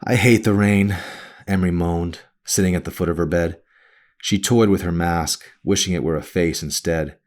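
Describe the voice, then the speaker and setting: A man reading a novel aloud as its author, in a brooding, dramatic narration style with deliberate phrasing. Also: low voice, steady tone, a little mellow.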